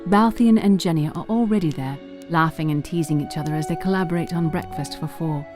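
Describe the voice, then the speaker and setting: A woman's voice: sits low, fairly quick, over music. A woman narrator reading scripted story narration for a fantasy audio drama.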